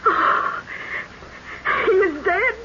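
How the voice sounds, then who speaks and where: High-voiced, hesitant Mexican wife. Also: female voice, expressive, full character voice. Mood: hysterical, overwrought. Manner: hesitant, halting speech. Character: frightened, submissive Mexican wife in old West. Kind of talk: radio drama acting. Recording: muffled old radio broadcast, faint noise.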